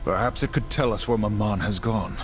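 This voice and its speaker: A man's voice: on the low side, brisk, faint music behind, close to an everyday voice. A man playing a stern, dutiful, brooding warrior in a game cutscene, grave and formal. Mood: pensive. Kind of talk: video game cutscene acting.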